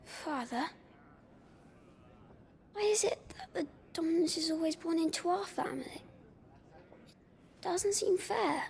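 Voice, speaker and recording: An actor playing a gentle, weary young noble boy in a video game drama, soft-spoken and hesitant. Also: extremely high pitch, slow pace, creaky, pauses often, heavily acted. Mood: troubled. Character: gentle, weary young boy heir, troubled by his gift.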